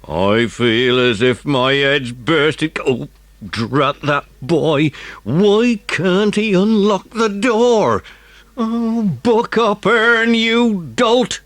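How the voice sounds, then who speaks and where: A blustering, high-pitched, furious village policeman. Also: drawn-out words, dry recording, exaggerated voice. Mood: exasperated groaning. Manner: blustering, shouting, grumbling. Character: pompous bullying village policeman, furious and overheated.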